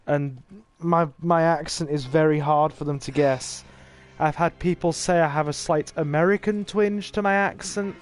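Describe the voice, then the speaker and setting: A man's voice: on the high side, fairly even intonation, moderate pace. A man with an English accent, casually commentating a horror game on a livestream and chatting to his viewers. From England. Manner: chatty and laid-back, talking to viewers while playing. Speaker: gaming streamer.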